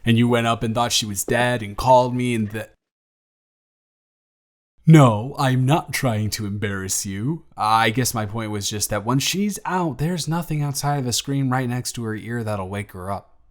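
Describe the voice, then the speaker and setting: A man playing a gentle, teasing single dad. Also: mid-range voice, normal speed, close to an everyday voice. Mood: tender, playful. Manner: soft-spoken, gently teasing. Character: warm grateful single dad, playful young man. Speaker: audio drama performer. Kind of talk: romantic audio roleplay.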